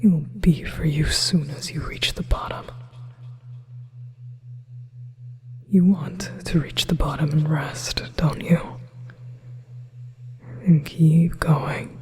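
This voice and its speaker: Possessive demon hypnotist for ASMR roleplay, played by a performer: breathy whisper, very slow, coaxing, level delivery. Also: medium pitch. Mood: coaxing, hypnotic.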